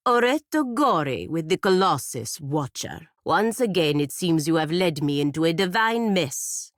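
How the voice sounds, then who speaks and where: Proud fantasy warrior woman, stern patriot. Low, dry-recorded voice, measured and formal game dialogue. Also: sing-song, dry recording. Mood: formal, reserved. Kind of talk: scripted video game character dialogue.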